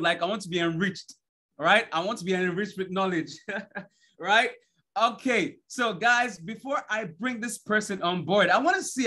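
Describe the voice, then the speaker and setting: A young man with a high, bright, forceful voice hosts an online event from his bedroom over a video call. He is an energetic hype man who keeps tossing out "right?" and at times breaks into a shout. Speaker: host. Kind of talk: hyped-up event hosting. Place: bedroom at home.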